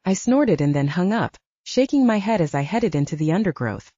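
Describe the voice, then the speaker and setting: Mellow woman narrator, animated pitch, dull audio. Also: fairly quick, dry recording.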